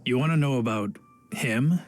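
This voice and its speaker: A man's voice: medium pitch, normal speed, natural voice. A man voicing a self-important but timid city guard in a video game, telling things dramatically and a bit defensively. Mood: dramatic. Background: background music.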